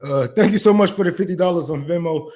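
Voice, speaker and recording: A man's voice: on the high side, fast, darkish tone. A man with a Detroit accent hosting a livestream, ranting with heavy profanity, then reading chat and thanking donors. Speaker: livestream host. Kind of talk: casual livestream commentary.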